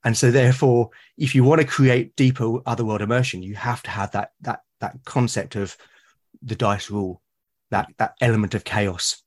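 A man's voice: mid-range voice, steady tone, normal speed. Enthusiastic man explaining. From Britain. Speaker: podcast guest. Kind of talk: conversational interview.

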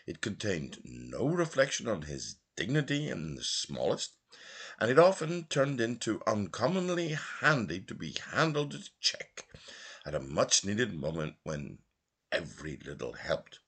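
A middle-aged man reads literature aloud in a low, husky voice. His delivery is theatrical and measured, with deliberate pauses and sweeping, lively intonation. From Northern Ireland. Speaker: audiobook reader. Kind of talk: expressive literary narration.

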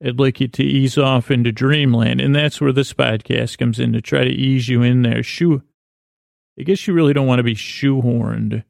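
A man hosting a sleep podcast, rambling softly in a halting, self-correcting way with many pauses. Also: mid-range voice, fairly even intonation, no room sound, studio quality. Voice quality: creaky, soft, halting with many restarts. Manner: meandering, self-correcting, drowsy pace. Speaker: podcast host.

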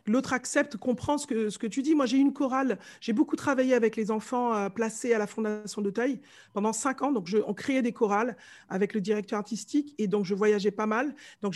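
Lively woman with a light Martinican accent. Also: on the high side. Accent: light Martinican French. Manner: animated storyteller, mimics voices and sounds. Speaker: vocal coach guest. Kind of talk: casual interview chat.

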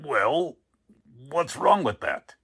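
Performer as a raspy, flustered male boss. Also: fairly high pitch, big pitch swings, slow pace, fairly bright tone, no echo, clean studio sound, full character voice. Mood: puzzled. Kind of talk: 1940s radio drama acting.

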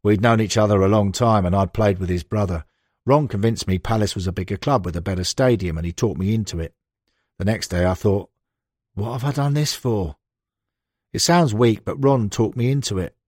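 A man's voice: on the low side, a little fast, darkish tone, no echo, clean studio sound, lightly acted. A man reading the interview quotes of a frank football manager, with blunt, emphatic, conversational storytelling in an audiobook. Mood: indignant, candid. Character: frank, animated male football manager reminiscing. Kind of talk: acted interview quotations in an audiobook.

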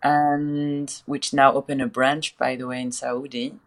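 A woman's voice: rumbling low, little pitch movement, a little slow. A woman, speaking as a guest on a remote interview about her art advisory work and her life. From France. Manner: fluent, with many repeated starts. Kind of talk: casual interview chat. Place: remote call from another city.

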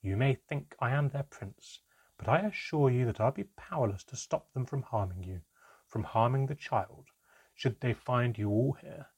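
A man voicing a cold, composed goblin father with an even, emotionless, measured manner. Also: medium pitch, husky. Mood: cold, controlled.

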